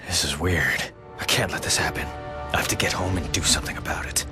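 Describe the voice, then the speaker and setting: A man's voice: rumbling low, steady tone, quick pace. An actor playing a brooding male character in a video game. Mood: puzzled, determined. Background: loud game music.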